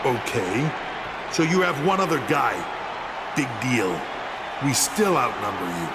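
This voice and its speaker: A man's voice: mid-range voice, very animated, unhurried, over a noisy crowd. A man playing an arrogant, menacing wrestling villain with a condescending, taunting promo delivery in a video game. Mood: dismissive threat. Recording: very noisy arena sound.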